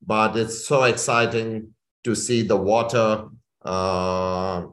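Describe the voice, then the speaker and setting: Echoey, low-voiced man from India. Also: little pitch movement, slow, fairly bright tone. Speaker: company chief executive, interview guest. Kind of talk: conversational business interview. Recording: echoey room.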